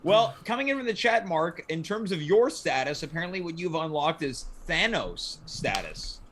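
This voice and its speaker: A man's voice: a little high, very animated, normal speed, fairly bright tone. A man hosting a talk show in a casual, chatty style. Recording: noticeable background noise.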